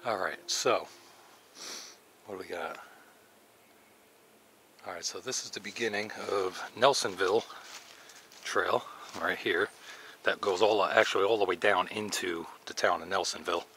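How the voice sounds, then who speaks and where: A man's voice: low voice, measured pace, long pauses, pushed and bright, a little hiss or hum. A middle-aged man chatting casually and enthusiastically while hiking wooded mountain trails outdoors, recorded on an action camera. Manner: enthusiastic, musing aloud while walking. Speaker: hiking vlogger.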